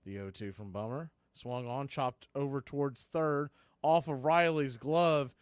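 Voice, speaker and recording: A man's voice: medium pitch, measured pace, clean tone, no room sound. A man calling a baseball game live as a play-by-play announcer.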